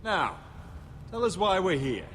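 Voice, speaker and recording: An actor playing a guarded, resolute young nobleman in a fantasy video game, speaking in a firm, measured way. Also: sits high, very animated, a bit bright, a little hiss or hum, full character voice. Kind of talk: dramatic video game acting. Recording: reverberant hall under clear music. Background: background music.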